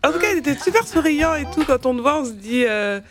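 A woman's voice: high-pitched, lively intonation, fast talker. A woman co-hosts a radio show, speaking in a friendly, chatty way and laughing along with the guest. Faint music plays under her voice in the studio. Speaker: radio co-host. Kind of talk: casual radio chat.

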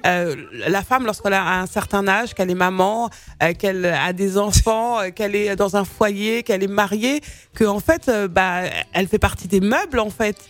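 A woman's voice: medium pitch, moderate pace. A woman in her forties hosting a radio interview in a studio, talking in a chatty way with many fillers and restarts. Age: 40s. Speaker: radio host.

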